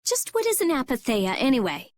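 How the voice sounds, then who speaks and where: A woman voicing a sharp-tongued, impatient teenage girl in a video game, blunt and easily exasperated. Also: sits high, huge pitch swings, fairly quick, no echo. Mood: impatient, curious. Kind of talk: video game dub acting.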